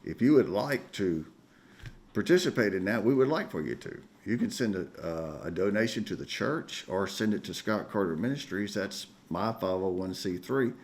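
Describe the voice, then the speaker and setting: Deep-voiced pastor giving folksy announcements. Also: male voice, animated, normal speed. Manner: folksy, conversational, with many fillers. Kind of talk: church announcements. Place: church sanctuary.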